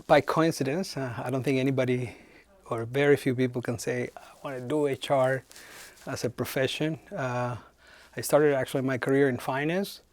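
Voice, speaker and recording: A man's voice: mid-range voice, steady tone, moderate pace, no echo. Man with a dry, close sound. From Chile. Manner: thoughtful, frequent hesitations. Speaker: head of human resources. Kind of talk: interview answers.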